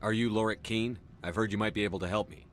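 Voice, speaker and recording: Brisk, low-voiced military commander played by a man. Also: steady tone, a little hiss or hum, close to an everyday voice. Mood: firm, calm. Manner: direct, controlled. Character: firm, no-nonsense male human military commander. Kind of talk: video game acting.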